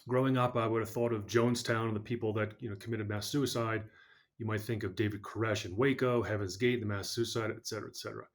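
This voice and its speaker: A man speaking as a podcast guest, telling a personal story in an earnest, measured way. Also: fairly low pitch, fairly even intonation.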